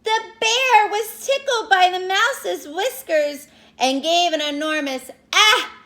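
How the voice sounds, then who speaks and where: A woman with a high-pitched voice speaks as a teacher to young children. She takes her time, with an unhurried, expressive storytelling delivery. Her phrasing is simple and direct, made for little listeners. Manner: explains words and addresses children directly. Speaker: elementary school teacher reading aloud to pupils. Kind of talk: expressive children's read-aloud and lesson talk.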